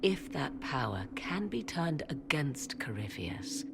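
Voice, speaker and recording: An actress playing a haughty, cunning witch in a fantasy game, with a theatrical, disdainful and deliberate delivery. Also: low-pitched, big pitch swings, creaky, heavy background noise, exaggerated voice. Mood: persuasive. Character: haughty, cunning witch adviser, scornful and tempting. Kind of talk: dramatic fantasy game acting.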